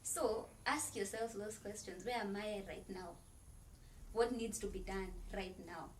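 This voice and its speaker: An earnest woman speaks as a podcast guest, laying out her points one by one in a preacherly way, with plenty of "like" between her phrases. Her voice sits at an ordinary middle pitch. She is picked up from a little way off the mic, with a faint hiss of background noise behind her. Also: unhurried, recorded from a distance, rough recording. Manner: loose filler-heavy phrasing with frequent "like". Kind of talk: faith-based motivational conversation.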